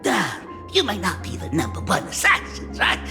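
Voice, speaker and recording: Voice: medium pitch, huge pitch swings, moderate pace, in a large hall, over music. An actor voicing a boastful, sly and jovial aging gang boss in a video game, in a theatrical manner. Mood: boastful, scheming. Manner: theatrical, chuckling, swinging between mutters and exclamations.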